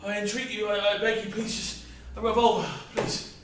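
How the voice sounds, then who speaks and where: A man's voice: high voice, level tone, normal speed, background noise, close to an everyday voice. A man playing a frantic, breathless family man. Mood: pleading. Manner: pleading, gasping, ranting in outbursts. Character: harried overworked family man, desperate and breathless. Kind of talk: theatrical stage acting. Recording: echoey hall from far off, faint ambience.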